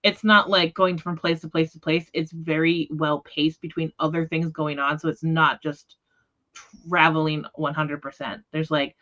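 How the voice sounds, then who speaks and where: A woman with a fairly low voice hosts an informal book discussion. She restarts and rephrases as she goes, speaking straight to her viewers. The audio is a little dull and lo-fi, like a livestream. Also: fairly even intonation, normal speed, bright, forceful tone. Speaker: book readalong livestream host. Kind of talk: casual book discussion chat. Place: online livestream.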